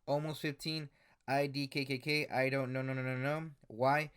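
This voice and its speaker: Man, twenties, casual commentary, lots of fillers and restarts, mid-pitched. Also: little pitch movement, normal speed, fairly bright tone, clear, resonant tone. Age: 20s. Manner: hesitant, many "uh" fillers and restarts. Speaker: commentary YouTuber. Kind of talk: casual commentary reading screenshots.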